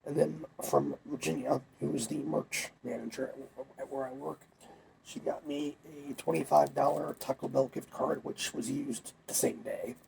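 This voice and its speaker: A man's voice: mid-range voice, expressive, normal speed, vocal fry, background noise. A young man talking casually, a little congested while he gets over a cold, rambling with fillers and restarts. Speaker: vlogger. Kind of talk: casual chat.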